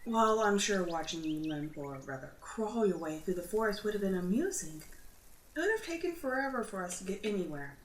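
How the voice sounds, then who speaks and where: A woman voicing a gently teasing, motherly drider, a spider woman of the forest, in an ASMR roleplay. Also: medium pitch, sing-song, a little fast, natural voice. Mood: firm, caring. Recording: roomy echo, audible background noise.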